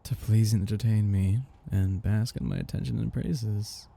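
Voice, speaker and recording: A man playing a possessive, condescending vampire master who croons and teases slowly in an intimate ASMR roleplay. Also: fairly low pitch, fairly even intonation, background noise. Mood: smug, adoring. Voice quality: husky, gravelly, dark. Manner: slow, crooning, teasing, condescending.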